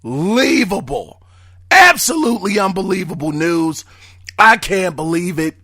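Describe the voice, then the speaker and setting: A man hosting his own podcast, talking in a hoarse voice and often shouting with excitement. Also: high-pitched, normal speed. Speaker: podcast host. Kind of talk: casual podcast monologue.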